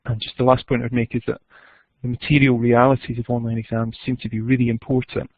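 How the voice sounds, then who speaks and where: A man's voice: mid-range voice, no echo. A man presenting research in a webinar and answering questions, with a hesitant delivery over tinny phone-like audio.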